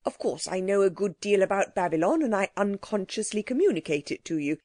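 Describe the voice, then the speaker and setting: A woman voicing a kindly, bewildered older learned gentleman in a storybook, courteous and musing. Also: mid-range voice, expressive, brisk, no room sound, clean studio sound, natural voice.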